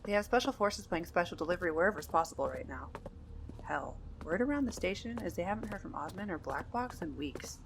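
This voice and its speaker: A woman's voice: fairly low pitch, lively intonation, a little fast, creaky, background noise. A woman playing a pragmatic Marine sergeant in an audio drama, with blunt, clipped military speech.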